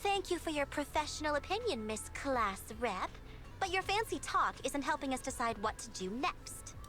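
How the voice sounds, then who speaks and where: An actress voicing a feisty, excitable, mouthy young female investigator in an anime-style game. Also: sits high, normal speed, soft background music. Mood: sarcastic.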